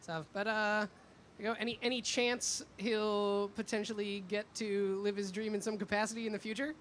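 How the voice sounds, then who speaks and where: A man's voice: high-pitched, flat delivery, normal speed, fairly bright tone. A man interviewing casually in fan-like chat, with informal phrasing full of filler "like". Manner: informal, peppers speech with "like". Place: fan convention event. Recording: noisy live event with background ambience.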